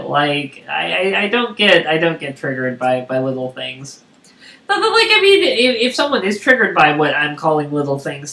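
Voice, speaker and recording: A woman with a big voice chatting casually and excitably as she hosts a video game stream. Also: sits low. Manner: loud, excitable. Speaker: streamer and host of a co-op gaming session. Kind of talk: casual chat while playing a video game. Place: indoor room, live game stream. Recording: echoey room with background noise.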